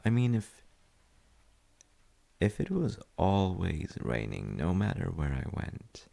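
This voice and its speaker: A man playing a gentle, thoughtful dad in an intimate audio roleplay, speaking hesitantly and softly with a gravelly, husky voice. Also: low-pitched, huge pitch swings, slow, exaggerated voice. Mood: wry, musing. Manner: hesitant, musing, soft-spoken. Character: gentle, thoughtful dad comforting his child. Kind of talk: intimate audio roleplay, slow bedtime chat.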